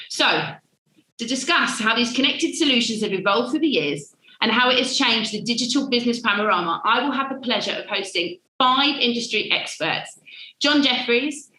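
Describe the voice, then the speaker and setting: A woman's voice: a little high, pushed and bright, echoey room, poor audio. A woman hosting a panel webinar, introducing guests with upbeat enthusiasm. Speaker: webinar host.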